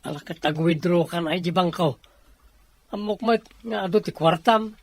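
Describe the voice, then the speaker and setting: Pushy, impatient young borrower. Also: female voice, lowish voice, moderate pace, no room sound. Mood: pushy, pleading. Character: pushy, indebted young person, impatient and pleading. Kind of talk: Filipino radio drama acting.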